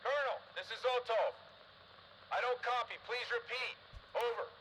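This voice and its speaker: A man's voice: very high voice, moderate pace, sharp tone. An actor playing an urgent soldier calling his commander over military radio in a video game. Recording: noisy radio transmission.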